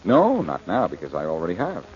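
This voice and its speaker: A man's voice: low-pitched, huge pitch swings, fairly quick, husky, exaggerated voice. A man playing a cocky, wisecracking amateur sleuth in 1940s radio style, glib and teasing. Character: cocky, wisecracking male amateur sleuth, cool and quick-witted. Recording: muffled old-time radio recording, faint noise.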